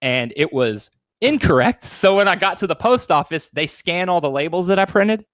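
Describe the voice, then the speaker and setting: Higher-pitched man chatting casually, close dry sound. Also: animated, moderate pace, a bit bright, no room sound. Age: 30s. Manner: informal, swears freely, bro-and-dude slang. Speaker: podcast co-host. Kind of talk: casual chat.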